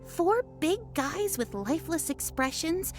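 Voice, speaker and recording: A woman's voice: very high voice, sing-song, a little slow, heavily acted. A woman voicing a chatty young village woman in a video game, gossiping about what she saw. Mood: recounting. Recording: game audio with music underneath.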